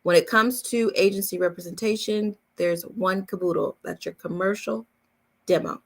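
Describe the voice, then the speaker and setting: A woman's voice: on the low side, fairly even intonation, normal speed, vocal fry. A woman coaching in a casual question-and-answer chat, explaining emphatically point by point with repetition. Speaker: voice-over coach hosting a live Q&A.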